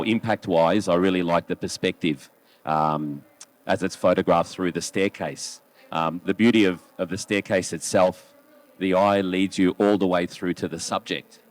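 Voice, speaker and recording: An Australian man in his late forties talking casually as a photo critic, chatty and often checking in with his colleagues. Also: sits low. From Melbourne, Australia. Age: late 40s. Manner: chatty, rhetorical questions to colleagues. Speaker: wedding photographer and competition judge. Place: booth at a trade show.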